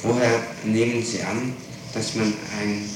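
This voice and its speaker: A man's voice: fairly low pitch, level tone, unhurried. A middle-aged man questioning someone as a prosecutor in a formal, precise and probing way, on an old tape recording. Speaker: public prosecutor questioning a suspect. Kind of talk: formal interrogation. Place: reverberant room, far from the microphone. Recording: echoey, distant, noisy archival tape recording.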